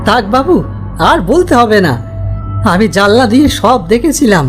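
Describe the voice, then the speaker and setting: High-pitched, pleading old servant played by a woman. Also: animated, moderate pace, lightly acted. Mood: bitter regret. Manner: pleading, lamenting. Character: frail, distraught old male servant, loyal and helpless. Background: music.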